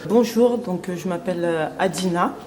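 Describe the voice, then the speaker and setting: A woman's voice: on the low side, normal speed. Hesitant woman in her thirties, noisy background. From Cameroon. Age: 30s. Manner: hesitant, many fillers. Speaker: association president. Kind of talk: testimonial interview.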